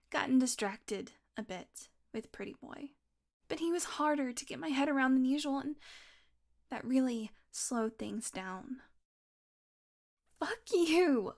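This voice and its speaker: Casting: actress as cranky, sarcastic vampire woman for audio roleplay; high register, sweary, teasing. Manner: sardonic, foul-mouthed, teasing with pet names. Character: hungry, irritable, sarcastic female vampire on a road trip. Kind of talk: audio roleplay acting, one-sided dialogue.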